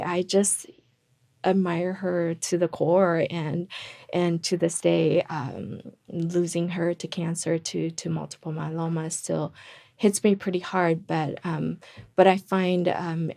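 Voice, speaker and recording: A woman's voice: fairly low pitch, vocal fry, darkish tone. A middle-aged woman from Taiwan, a podcast guest talking earnestly about her family, with many fillers and restarts. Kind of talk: interview conversation.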